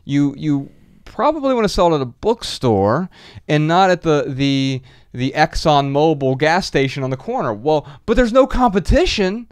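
A man's voice: fairly high pitch, animated, moderate pace, clear, resonant tone, no echo. A man in his late thirties hosting a conversational podcast, speaking close to a studio microphone. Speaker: podcast host. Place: small dark podcast studio. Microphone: studio microphone on boom arm, close.

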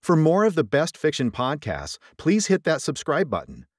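A man's voice: fairly high pitch, huge pitch swings, moderate pace, breathy edge, no room sound, clean studio sound. A man reading scripted credits as an announcer.

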